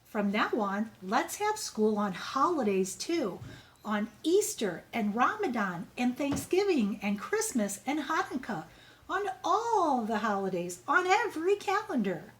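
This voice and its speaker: A woman voicing a proud, enthusiastic male school principal in a storybook, making drawn-out, emphatic declarations. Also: a little high, very animated, a bit bright, a little hiss or hum, poor audio. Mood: proud, excited.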